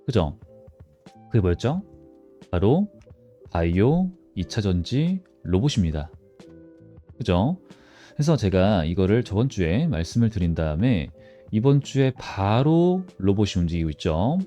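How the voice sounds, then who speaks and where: A man with a low, soft-edged, mellow voice talks viewers through the stock market. His intonation moves up and down in a lively way as he poses questions and answers them. Faint music plays behind him. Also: unhurried, long pauses. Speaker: stock analysis channel host. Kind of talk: informal market commentary to viewers.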